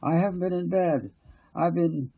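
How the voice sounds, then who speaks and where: A man voicing an earnest, excitable boy in an audiobook story. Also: medium pitch, normal speed, creaky, slightly rough, voice pushed up. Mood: excited, insistent.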